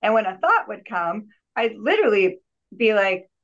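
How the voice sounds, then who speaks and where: A woman's voice: medium pitch, very animated, moderate pace, a bit bright. Earnest woman on dull lo-fi audio. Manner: earnest, reflective, with frequent restarts and repeated words. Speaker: podcast guest, healer and yogi. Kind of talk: personal story in an interview.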